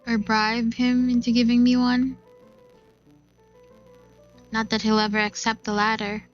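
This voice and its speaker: A woman with a fairly high voice reads visual novel narration aloud as a gaming streamer. Her storytelling is expressive, recorded a little dull, with faint music behind her. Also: unhurried. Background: faint game music.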